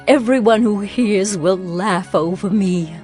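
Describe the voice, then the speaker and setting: An actress playing an imperious elderly mother in a dramatized scripture, speaking deliberately and with emphasis. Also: mid-range voice, darkish tone, close to an everyday voice. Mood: joyful wonder. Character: Sarah, elderly new mother, imperious matriarch. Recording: background music under the voice.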